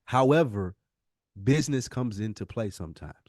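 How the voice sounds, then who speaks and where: A man's voice: on the low side, animated, normal speed, a little mellow, no echo. Insistent American man in heated debate, dry sound. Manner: emphatic, insistent, slangy, lecturing. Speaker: podcast co-host.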